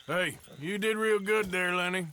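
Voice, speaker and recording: An actor playing a weary, skeptical but loyal American gunslinger in a video game, laconic and drawling. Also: male voice, high voice, fairly even intonation, moderate pace, sharp tone, clean tone. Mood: reassuring. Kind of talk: dramatic video game cutscene acting.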